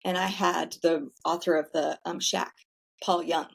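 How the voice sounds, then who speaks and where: A woman with a somewhat low voice, telling her story in a casual interview. She is candid and chatty, and drops the odd swear word without fuss. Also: steady tone, a little slow, creaky. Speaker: podcast guest, founder of a self-care center.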